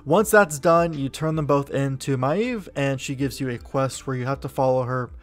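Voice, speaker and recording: Male game commentator, mid pitch, conversational lore rundown over background music. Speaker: video game lore narrator.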